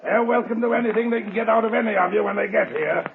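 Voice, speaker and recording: An actor playing a ruthless, gloating male swindler in a 1930s radio drama, with a gravelly, sneering voice. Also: high-pitched, fairly even intonation, quick pace, a crowd in the background. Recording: tinny, echoey old 1930s radio broadcast.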